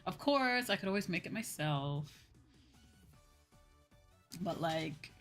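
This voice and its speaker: A woman hosting a casual livestream, chatting with viewers in a drawn-out, exclamatory way and often repeating her phrases. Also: on the low side, lively intonation, soft background music. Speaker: livestream host.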